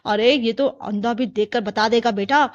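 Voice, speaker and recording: A woman's voice: fairly high pitch, moderate pace, dull audio, close to an everyday voice. A woman voicing a scolding, suspicious older mother-in-law in a story, with nagging, emphatic questions.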